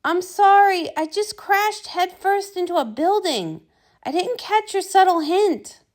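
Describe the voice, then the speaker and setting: A woman voicing a brash, excitable male soldier pony in a comic, full of boastful bravado. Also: very high-pitched, unhurried, pitched above the performer's own voice. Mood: sheepish.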